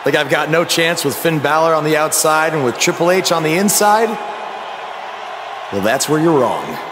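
A man's voice: on the high side, animated, normal speed, lightly acted. A man playing an earnest young underdog wrestler in a video game story. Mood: defiant. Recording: noisy arena and backstage ambience.

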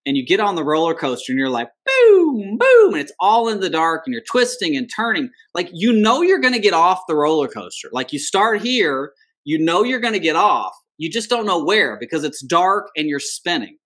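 A man in his thirties, a financial advisor, walking viewers through a retirement plan in a relaxed, conversational way, talking with his hands from a home office. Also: high-pitched, moderate pace. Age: 30s. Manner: conversational, animated with hand gestures. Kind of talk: explaining retirement planning on screen share.

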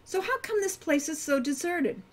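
A curious, spirited teenage girl from a storybook, chatty and eager, played by a woman reading aloud. She speaks high with lots of movement in her voice. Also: expressive, normal speed.

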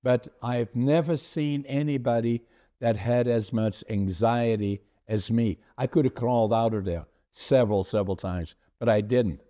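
A man's voice: medium pitch, steady tone, warm tone. An older man from the Netherlands with a Dutch accent, English not his first language, telling his life story earnestly as a podcast host over a video call. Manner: earnest, deliberate, gestures with his hands. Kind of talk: conversational storytelling. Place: home office with framed certificates.

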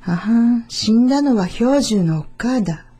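Woman voicing a pensive little fox, soft-toned. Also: a little high, expressive, normal speed, husky. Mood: subdued realization. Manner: musing to himself. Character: lonely, mischievous young male fox, pensive and remorseful.

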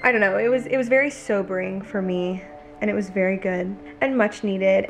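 A woman in her twenties, chatting casually to the camera at home, earnest and filled with "like" and "you know". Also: medium pitch. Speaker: lifestyle vlogger. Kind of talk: casual vlog talk to camera. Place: home kitchen and living room couch.

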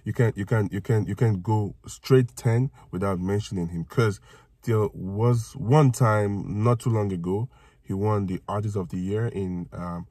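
A man in his thirties from Africa co-hosting a casual reaction video in a parked car, excitable and quick to laugh. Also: slightly rough, dry recording. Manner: excitable, laughs often, jumps high when thrilled. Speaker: reaction channel co-host. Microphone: phone camera on the dashboard.

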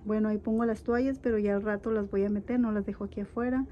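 A woman's voice: mid-range voice, fairly even intonation, fast talker, warm tone, dull audio, slight background noise. A middle-aged woman, chatting casually to viewers as she walks through her house filming on a phone. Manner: chatty, homely, addresses viewers directly. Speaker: vlogger giving a home tour. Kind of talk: casual home tour narration. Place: house and yard, indoors and outdoors. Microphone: phone camera held while walking.

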